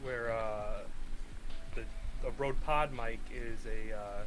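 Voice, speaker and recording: A man chatting casually as a livestream guest into a close dynamic microphone, explaining things in a halting way. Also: mid-range voice, steady tone, background noise. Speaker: livestream guest, computer enthusiast. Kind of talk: casual voice-call chat. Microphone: close dynamic podcast microphone.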